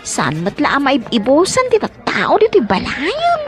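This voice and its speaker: Woman acting very high-pitched, animated Ilocano young niece. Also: normal speed, over music. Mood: chatty, hospitable. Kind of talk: Ilocano comedy radio drama acting.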